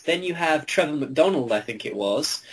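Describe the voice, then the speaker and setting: A young man chatting casually and playfully with friends on a voice call, in an excitable manner. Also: on the high side, normal speed, breathy edge. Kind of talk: casual group chat on a voice call. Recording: very noisy home mic with clicks.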